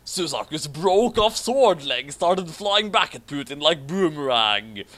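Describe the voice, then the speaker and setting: A man's voice: on the high side, very animated, unhurried, a bit bright. A man reading a story aloud with casual, amused commentary. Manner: amused, prone to laughing. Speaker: reader of a fan fiction commentary.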